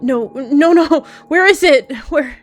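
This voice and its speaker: A woman's voice: high-pitched, slow pace, soft background music, pitched above the performer's own voice. A woman voicing a panicked male warrior cat who stammers and cries out desperately. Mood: frantic.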